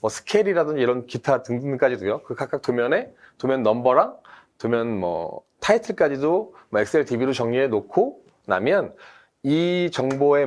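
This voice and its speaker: A man, a design engineer, explaining a drafting workflow step by step in a webinar, with frequent fillers. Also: medium pitch.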